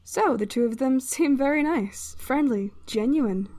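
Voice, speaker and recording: Woman acting a mellow, composed druid lady. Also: high voice, no room sound, studio quality. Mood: gracious. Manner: formal, measured, gracious. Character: composed noble druid lady, caring, exasperated sister. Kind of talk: fantasy audio drama acting.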